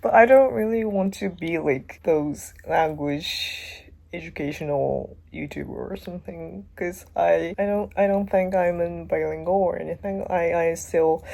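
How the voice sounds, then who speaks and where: A woman's voice: fairly low pitch, creaky, dark tone. A young woman with a Japanese accent, a language teacher chatting casually in a vlog, hesitant and self-deprecating. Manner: hesitant, self-deprecating, full of "like" and "I don't know".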